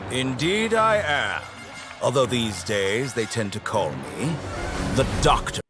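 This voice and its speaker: A man's voice: medium pitch, sing-song, slow pace. A man voicing a suave, condescending mad scientist villain in an anime game, theatrical and sardonic. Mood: smug, grandiose. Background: game background music.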